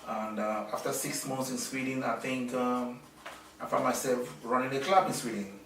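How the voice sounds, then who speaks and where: A middle-aged man from Nigeria, answering interview questions in a halting way with many fillers and restarts. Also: mid-range voice, steady tone, fairly bright tone, slight background noise. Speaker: interviewee, businessman. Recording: echoey room, mic at a distance.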